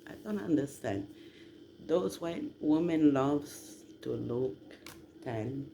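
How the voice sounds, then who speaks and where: A man from southern Italy, rambling casually to listeners in a halting, emphatic way. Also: fairly high pitch, animated, gravelly. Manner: halting, drawn-out delivery with emphatic questions. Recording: noticeable background noise.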